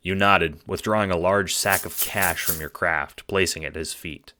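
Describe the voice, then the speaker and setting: A man narrating a scripted audio drama in a measured, dramatic storytelling manner. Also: low-pitched, steady tone, bright, forceful tone, a little hiss or hum.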